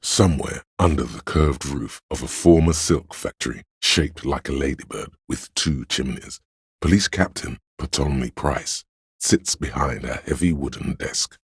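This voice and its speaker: An actor playing a grave, all-knowing narrator in a video game, delivering literary police drama in a solemn, deliberate way with a gravelly, husky voice. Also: male voice, a bass voice, no echo, studio quality, heavily acted. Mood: solemn, brooding. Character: grave, omniscient male narrator voice of police camaraderie.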